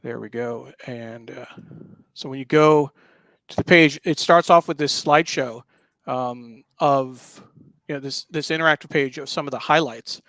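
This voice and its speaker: A man hosting a casual live talk show, explaining what he is showing in a halting, explanatory way. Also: medium pitch, a bit bright, no echo. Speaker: show host. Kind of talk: casual live talk walking through a newspaper.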